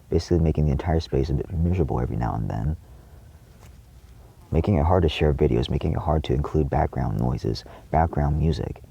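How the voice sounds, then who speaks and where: A man giving an impassioned, indignant monologue to viewers, emphatic and repetitive, with a gravelly, husky voice. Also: extremely low pitch, mellow. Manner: frequent swearing. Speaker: video creator addressing viewers.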